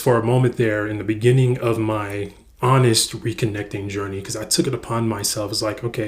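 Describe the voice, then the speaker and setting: A young man from the United States, talking earnestly in a reflective personal vlog and stumbling over words with frequent repeats. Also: fairly low pitch. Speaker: vlogger.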